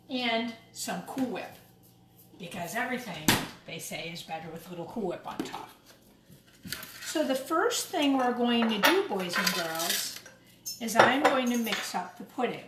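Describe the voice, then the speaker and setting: A woman with a slightly high voice leads a cooking demonstration for children. She explains each step in simple phrases and speaks to the young audience directly. The room is noticeably echoey, with clear noise and clatter of utensils behind her. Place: indoors in a kitchen. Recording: roomy echo, clattering kitchen noise.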